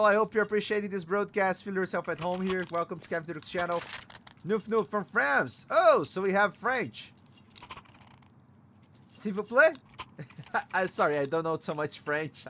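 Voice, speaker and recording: A man from Brazil with a Brazilian Portuguese accent, a native Portuguese speaker casting an esports match alone in English, excitable on plays and chatty in between. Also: sits high. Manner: excitable, quick bursts on plays, chatty asides and laughs. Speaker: esports caster. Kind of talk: solo game commentary and chat reading.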